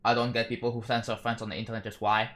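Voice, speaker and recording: A young man from Indonesia with an Indonesian accent, chatting casually on a live stream, rambling and halting with frequent restarts. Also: mid-range voice, level tone, a bit bright. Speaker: livestreamer.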